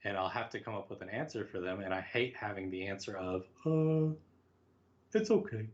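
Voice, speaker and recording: A man's voice: on the low side, lively intonation, normal speed, lo-fi sound. A man speaking casually as an interview guest, reflective and self-deprecating, often acting out small quoted asides. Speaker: podcast guest, writer.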